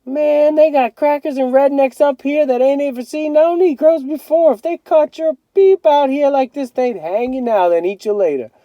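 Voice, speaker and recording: A man's voice: extremely high pitch, moderate pace, hardly any pauses, dry recording, highs cut off, voice pushed up. A man voicing a cocky adolescent older brother in a storybook, brash and slangy with menacing bravado. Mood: scary warning.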